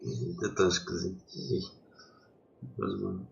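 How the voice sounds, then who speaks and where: A man's voice: low voice, little pitch movement, measured pace, creaky, dull audio. A young man, stuffy from a cold, chatting hesitantly while setting up a gaming livestream. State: congested from a cold. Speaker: streamer. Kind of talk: casual gaming livestream chat.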